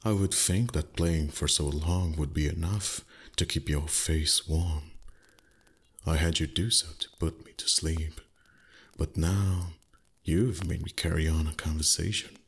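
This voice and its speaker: Casting: male actor for proud, age-old dragon in intimate roleplay audio. Deep, very raspy-breathy voice, formal phrasing, teasing disdain hiding a soft heart. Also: fairly even intonation, a little slow, heavily acted. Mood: flustered, gruff. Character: ancient, proud dragon, gruff but secretly tender. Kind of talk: roleplay ASMR voice acting.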